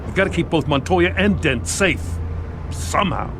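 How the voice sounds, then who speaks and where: An actor playing a weary, worried police commissioner in a video game, urgent and exasperated. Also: male voice, a little high, expressive, moderate pace, background noise, close to an everyday voice.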